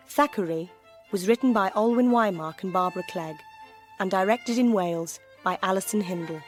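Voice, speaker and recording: A woman's voice: medium pitch, moderate pace. Woman announcer over string music. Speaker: radio drama announcer. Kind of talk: scripted credits narration.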